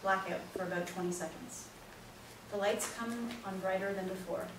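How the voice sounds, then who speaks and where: A woman's voice: medium pitch, fairly even intonation, normal speed. A woman reading stage directions as scripted narration for a play reading. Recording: echoey room, distant mic, noisy.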